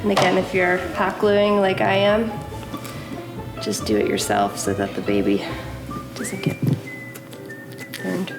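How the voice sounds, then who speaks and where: A woman's voice: medium pitch, measured pace, long pauses. A woman hosting a family craft video, chatting casually and encouragingly as she gives simple instructions. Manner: animated, encouraging when talking to the child. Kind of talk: casual craft tutorial and chat with a young child. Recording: echoey room with music underneath. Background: music.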